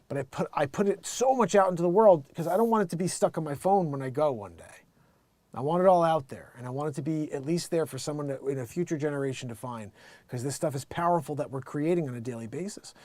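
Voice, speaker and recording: Higher-voiced middle-aged American man, animated rambler. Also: fast, no echo. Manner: animated, rambling, emphatic, quotes himself and others. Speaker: live music show host and musician. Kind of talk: casual unscripted livestream monologue.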